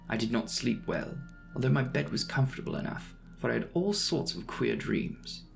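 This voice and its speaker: A man narrates as an earnest, inquisitive young male traveller in an audiobook, precisely recounting his journal. The voice is creaky at the edges and highly varied in pitch, with music behind it. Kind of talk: dramatic audiobook reading of a journal.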